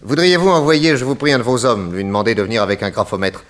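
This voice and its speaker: A man playing a shrewd, courteous private detective in a radio serial, speaking in a deliberate, inquisitive, polite manner. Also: mid-range voice, fairly bright tone, lightly acted. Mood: courteous, directive. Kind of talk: old French radio detective serial acting.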